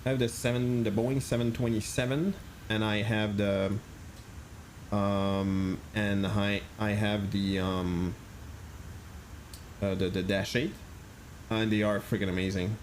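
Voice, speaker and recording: A man's voice: on the low side, little pitch movement, unhurried, pauses often, a little hiss or hum. A man streaming a flight simulator, chatting casually, thinking aloud and answering chat with many fillers.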